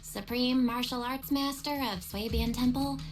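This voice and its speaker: A woman's voice: a little high, steady tone, normal speed, close to an everyday voice, poor audio. An actress playing an earnest, inquisitive young woman with mystical skills in a video game. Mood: puzzled. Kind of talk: English video game dub acting. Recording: game music behind the voice.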